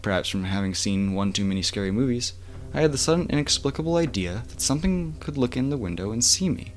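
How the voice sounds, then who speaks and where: A man's voice: mid-range voice, expressive, quick pace, a little hiss or hum. A man reading a first-person horror story aloud as bedtime narration, like an amateur audiobook with the occasional stumble. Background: music.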